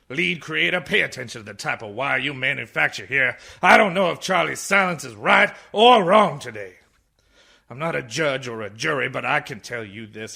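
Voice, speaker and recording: A raspy, ranting old man in a radio comedy, creaky and piercingly sharp. His delivery swings wildly from muttering to shouted outrage, tired yet indignant. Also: fairly high pitch, exaggerated voice. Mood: earnest, impassioned. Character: blind, tired old man, blustering indignant orator defending a boy. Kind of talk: radio comedy play parodying a film speech.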